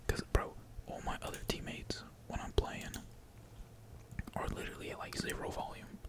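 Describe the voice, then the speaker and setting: A man whispering slangy, sweary ASMR commentary while playing a video game at home, keys typing behind him. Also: normal speed. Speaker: gaming ASMR streamer. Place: indoors at home, at a computer. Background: keyboard typing.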